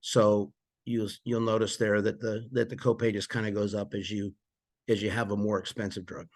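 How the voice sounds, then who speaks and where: A man casually walking through insurance plan details, a little hoarse and losing his voice, with frequent fillers and restarts. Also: on the low side, little pitch movement, normal speed. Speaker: presenter explaining insurance plans. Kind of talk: casual explanatory walkthrough.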